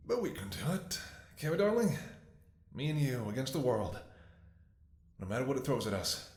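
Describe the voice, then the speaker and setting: A man performs a devoted, worried doctor speaking intimately to his ailing lover. His delivery is emotional and halting, with tender sighs, at a middling male pitch. The audio roleplay has a clearly echoey, roomy sound. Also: sing-song, darkish tone, lightly acted. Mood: determined, affectionate. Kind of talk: intimate scripted ASMR roleplay acting. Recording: echoey room.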